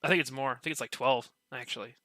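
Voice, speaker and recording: A man you'd hear on a hobbyist podcast, sharp-edged voice in a dry space, meandering eagerly through his point. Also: medium pitch, quick pace, dry recording. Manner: rambling, peppered with filler "like". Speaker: podcast panelist. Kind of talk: casual discussion.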